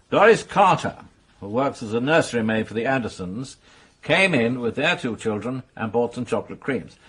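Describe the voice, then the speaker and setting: An actor playing a sober police major in a radio mystery, speaking in a measured, serious way with a slightly husky voice. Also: male voice, medium pitch, a bit bright. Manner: measured, serious, matter-of-fact. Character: sober, businesslike male police major briefing colleagues. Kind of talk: radio mystery drama dialogue.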